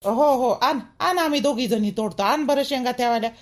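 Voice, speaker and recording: Village mother-in-law for a cartoon, high-pitched, directing yet relaxed in temper, Vidarbha Marathi accent female performer. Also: a little fast, a little hiss or hum, natural voice. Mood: matter-of-fact, directing. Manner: matter-of-fact, directing, permissive. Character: bossy but easygoing middle-aged village mother-in-law.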